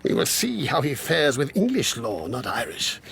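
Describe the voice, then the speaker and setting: An actor playing a cunning, menacing moneylender in a period radio drama, with a mocking, theatrical, emphatic way of talking. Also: male voice, medium pitch, wildly swooping pitch, moderate pace, vocal fry, a bit bright, breathy edge, full character voice. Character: cunning, menacing male moneylender and coachmaker, oily when cornered.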